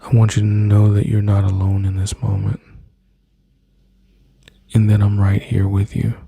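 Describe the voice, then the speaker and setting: A man with a deep, dark, husky voice talks slow and tender, close on the mic, coaxing the listener to breathe and relax. His words sink into breathy whispers in a flat, even line, with a gravelly edge throughout. The recording is dry and close. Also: dry recording. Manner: soft, slow, soothing, intimate address to listener. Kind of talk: ASMR boyfriend roleplay.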